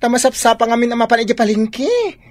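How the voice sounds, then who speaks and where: An actress playing an earnest, fussing grown daughter in a radio drama, with an Ilocano accent. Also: female voice, fairly high pitch, sing-song, fairly quick. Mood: worried, fussing.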